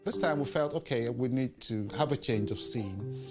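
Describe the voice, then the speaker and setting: A man from Nigeria, a playwright and director talking in an interview, halting and restarting as he goes. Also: mid-range voice, music in the background. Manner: lively, halting with restarts and stumbles.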